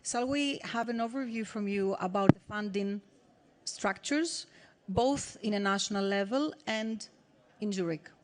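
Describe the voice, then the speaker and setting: A woman chairs a panel discussion at a mid pitch for her sex, introducing each speaker in turn. She keeps a steady pace and often stops on a filler before going on. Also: heavy background noise. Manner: steady, with frequent "uh" pauses. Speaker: panel moderator. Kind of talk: moderating a festival panel.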